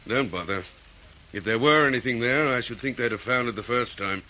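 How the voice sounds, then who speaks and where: An actor playing a methodical, questioning police detective in an Australian radio drama, with an Australian accent. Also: male voice, mid-range voice, pushed and bright, slight background noise, close to an everyday voice, low-quality recording. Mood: reasoning. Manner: deliberate, questioning.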